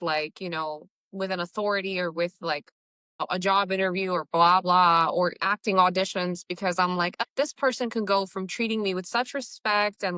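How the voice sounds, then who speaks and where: A woman's voice: on the low side, dry recording. Candid young woman, casual podcast host. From Palestinian background, raised in the US. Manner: animated and candid, with laughter. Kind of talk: casual conversation.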